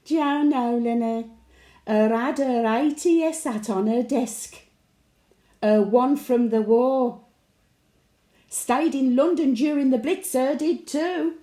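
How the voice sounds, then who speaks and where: A woman's voice: sits high, dark tone, echoey room. A woman playing a cheeky, wistful elderly market-trader widow with a Birmingham accent, telling her tales in a chatty, colloquial audio monologue. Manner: colloquial storytelling with dry chuckling asides. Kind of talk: audio monologue, chatty diary addressed to late husband.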